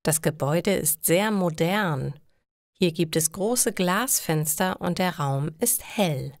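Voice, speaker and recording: A woman narrating simple stories for language learners in slow, deliberate, scripted phrasing. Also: fairly low pitch, animated, no echo, clean studio sound.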